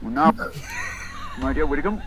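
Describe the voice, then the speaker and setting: A man playing an urbane, teasing English detective with a precise, gentlemanly delivery in an old radio drama. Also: fairly high pitch, expressive, normal speed, a crowd in the background, lightly acted, low-quality recording. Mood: courteous, brisk. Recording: old radio recording, voices talking over it.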